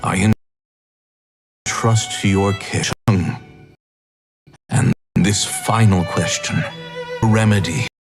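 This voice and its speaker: A man playing a solemn, weary doctor who tells a legend gravely and slowly in a fantasy game. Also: low-pitched, steady tone, music in the background. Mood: grave, regretful. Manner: slow, grave, measured storytelling with long pauses. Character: solemn, weary male doctor recounting a legendary healing contract. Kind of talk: dramatic story narration in a fantasy game.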